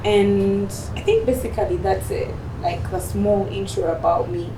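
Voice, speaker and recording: A woman's voice: medium pitch, little pitch movement, unhurried. A woman with an East African accent, from Rwanda and Uganda, answering conversationally as an interview guest. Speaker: interview guest, beauty business owner. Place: cosmetics shop, indoors. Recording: echoey room, distant mic, noisy ambience.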